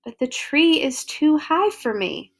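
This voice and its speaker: A woman voicing a friendly, excitable young boy from a children's storybook, exclamatory and earnest. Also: high-pitched, a little slow, pitched above the performer's own voice. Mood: dismayed.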